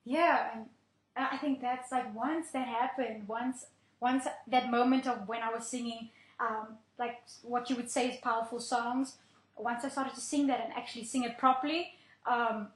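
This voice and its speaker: A woman's voice: a little high, steady tone, normal speed, reverberant, poor audio. A young woman singer telling a story in a radio interview, earnest, with many fillers. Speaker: singer, guest. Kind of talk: casual interview talk.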